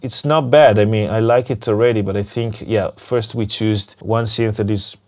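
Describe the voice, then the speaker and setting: Man with a cold demoing music software. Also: lowish voice, moderate pace. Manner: relaxed, thinking aloud as he clicks through. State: has a cold, losing his voice. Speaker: music production tutor. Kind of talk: screen-recorded software tutorial. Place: small dim home studio, indoors. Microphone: lapel mic. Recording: music clearly under the voice. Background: music playing from the session.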